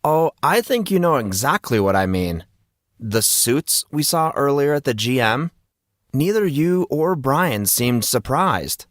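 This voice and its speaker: Audiobook role: man playing cocky, inquisitive teen boy, slangy, raised pitch, dry studio sound. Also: very animated, unhurried, no echo. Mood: probing. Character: brash, slangy teenage schoolboy, inquisitive and confident. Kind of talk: audiobook character dialogue.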